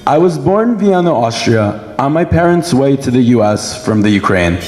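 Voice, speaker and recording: A man's voice: mid-range voice, sing-song, normal speed, a little mellow, soft background music. Man giving a speech in an echoey hall. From Brooklyn, New York, United States. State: sore throat. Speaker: guest speaker giving a personal testimony. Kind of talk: prepared speech at a dinner event. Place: large indoor event hall. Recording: echoey hall with long reverb.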